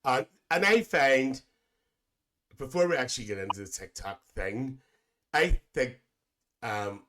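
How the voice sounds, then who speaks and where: A man's voice: medium pitch, unhurried, pushed and bright, a little hiss or hum. A man playing a chatty, teasing Northern Irish auntie who hosts a comedic interview podcast, repeating phrases and calling people dear. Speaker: podcast host.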